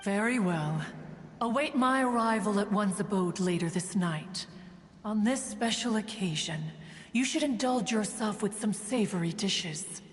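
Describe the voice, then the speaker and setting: A woman's voice: medium pitch, expressive, a little slow, close to an everyday voice. A woman voicing a haughty, ancient immortal bird spirit in a video game, speaking in a formal, archaic, imperious way. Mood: stately. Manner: imperious, formal, archaic, refers to self as one. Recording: long hall-like reverb.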